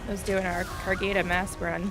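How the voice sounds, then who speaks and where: A woman's voice: lowish voice, level tone, brisk. A woman game streamer giving casual live gameplay commentary and chatting with viewers. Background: game music.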